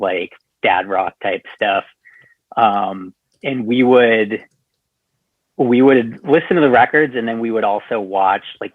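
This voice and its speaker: American male podcast guest, lowish voice, casual, tinny phone-like audio. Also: no room sound. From southern New Hampshire, USA. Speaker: podcast guest, punk band musician. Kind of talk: casual chat. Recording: thin phone-like line, dry.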